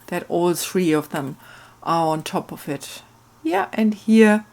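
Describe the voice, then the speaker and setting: A woman hosting a craft video, talking in a musing, unhurried storytelling way. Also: mid-range voice, darkish tone. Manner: musing, hesitant, thinking aloud. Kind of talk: casual craft narration and slow storytelling.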